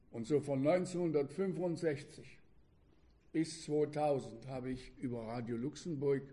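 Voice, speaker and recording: An elderly man in his eighties preaching to a congregation, speaking in deliberate, measured phrases with pauses and sharing personal reminiscences. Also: mid-range voice, mellow. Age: 80s. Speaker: preacher, former religious radio broadcaster.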